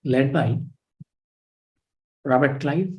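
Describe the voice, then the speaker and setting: A man with an Indian English accent giving a live online history lecture. He speaks quietly and in a measured way, and he reads out comments from his students. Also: low-quality recording. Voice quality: low, soft voice. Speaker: history teacher.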